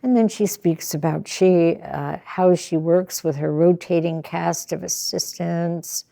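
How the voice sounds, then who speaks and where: A woman giving a Zen dharma talk, who reads a passage aloud and then comments on it in a measured, contemplative way. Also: lowish voice, vocal fry, dark tone. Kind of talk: reading aloud and commenting in a sermon.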